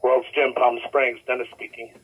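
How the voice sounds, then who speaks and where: A man's voice: medium pitch, little pitch movement, normal speed, slightly rough. A man answering calls as gym staff, heard over a tinny lo-fi telephone line. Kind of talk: phone call.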